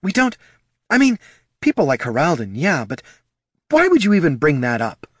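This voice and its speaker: A man's voice: medium pitch, big pitch swings, measured pace, dry recording, studio quality. A man voicing an indignant, flustered female mage with a defensive manner in a fantasy audiobook.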